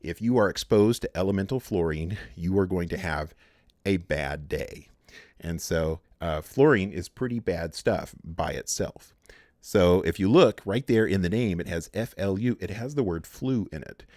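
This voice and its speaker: A man teaching a chemistry lecture in a relaxed way, with many fillers and restarts. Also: low-pitched, normal speed, no room sound, studio quality. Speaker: chemistry instructor. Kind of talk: recorded lecture.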